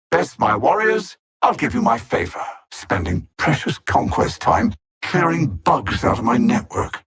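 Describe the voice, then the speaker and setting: A man playing a cold, superior alien machine conqueror in a video game, articulate and haughty, savoring his menacing taunts in a gravelly, husky voice. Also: medium pitch, exaggerated voice. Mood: imperious command, then gloating contempt. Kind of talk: theatrical video game villain acting.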